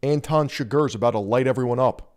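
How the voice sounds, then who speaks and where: A man's voice: medium pitch, quick pace, darkish tone, a little hiss or hum. A man streaming live and reacting to a video with crude, wry commentary and frequent laughter.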